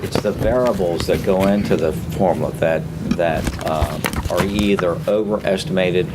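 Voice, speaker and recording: Low-voiced man briefing a council. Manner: measured, explanatory, with frequent fillers. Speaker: city official presenting budget. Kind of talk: council work session briefing. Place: city council chamber. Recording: noisy council chamber audio.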